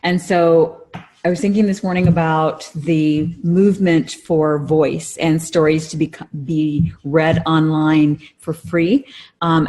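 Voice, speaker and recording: A woman's voice: deep voice, darkish tone. A middle-aged woman co-hosting a podcast in casual conversation, explaining things earnestly. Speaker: podcast co-host.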